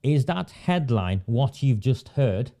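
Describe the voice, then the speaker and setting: A man's voice: medium pitch, very animated, takes it slowly, warm tone. A man from Oldham gives a political commentary monologue. He works through his points methodically, repeating them, asking rhetorical questions, and adding dry sarcastic asides. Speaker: local campaigner and commentator.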